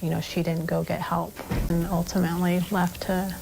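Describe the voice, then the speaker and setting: A woman's voice: fairly low pitch, flat delivery, normal speed, vocal fry, music in the background, rough recording. An American woman speaking unscripted as an interviewee. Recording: faint background ambient noise.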